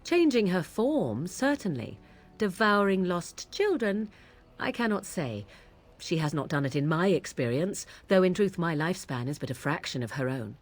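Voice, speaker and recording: Snooty sardonic witch, cool old-timey speech, lowish voice. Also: big pitch swings, normal speed, soft background music. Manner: cool, archaic, mocking. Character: aloof young witch, sardonic and haughty. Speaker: companion character in a fantasy game.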